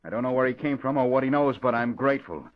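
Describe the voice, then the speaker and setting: A mid-pitched American male voice of an actor as a tough man taking charge. He is brisk and firm in classic radio crime style, on a dull recording. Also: slight background noise, lightly acted. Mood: firm, decisive. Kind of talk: old-time radio drama acting.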